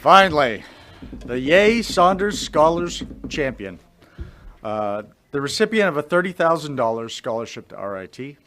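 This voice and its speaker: A man's voice: fairly high pitch, sing-song, moderate pace, fairly bright tone. A man making a live prize announcement as the award announcer.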